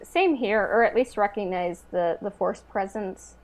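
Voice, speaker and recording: Female co-host, medium pitch, loose conversational delivery with halting, filler-heavy phrasing. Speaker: podcast co-host. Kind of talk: casual chat about game lore.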